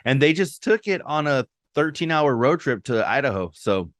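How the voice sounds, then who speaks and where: A man's voice: mid-range voice, animated, normal speed, no echo. Young guy cohost, chill storyteller, slangy, drops spanish words, dry close mic. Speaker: podcast co-host. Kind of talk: casual chat.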